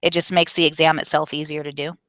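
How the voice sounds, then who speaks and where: A woman's voice: deep voice, level tone, fast talker, a bit bright, dry recording. A woman in her forties answering viewer questions in a casual, candid and explanatory way, often correcting herself as she goes. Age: 40s.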